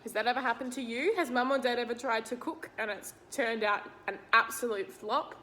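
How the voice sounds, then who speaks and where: An Australian woman with a slightly high voice reads a picture book aloud to young children. She is expressive and speaks straight to her listeners, explaining things simply. There is faint noise in the recording. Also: brisk. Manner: expressive, questioning, addresses young listeners directly.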